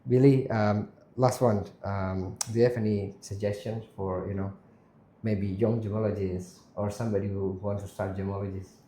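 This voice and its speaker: A man hosts a casual interview. His voice is dark and relaxed, and he talks haltingly, often repeating a phrase. The sound is clearly echoey and roomy. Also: fairly low pitch, a little hiss or hum. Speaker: interview host.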